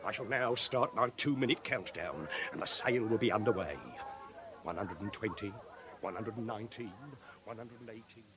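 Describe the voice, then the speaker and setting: A man's voice: on the low side, normal speed, very noisy, close to an everyday voice. An actor playing a pompous, anxious department store manager who addresses his staff like troops, in a British radio comedy. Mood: officious. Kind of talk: British comic radio acting.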